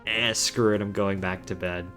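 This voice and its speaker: A man's voice: lowish voice, fairly even intonation, moderate pace, bright, forceful tone, faint music behind. A young man in college, a streamer telling a rambling story with restarts in casual chat while gaming. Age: young adult.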